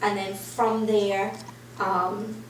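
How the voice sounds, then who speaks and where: A woman from Trinidad telling her life story as an interview guest, animated, acting out the reactions she quotes. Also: mid-range voice, extremely slow. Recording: roomy and distant, faint noise.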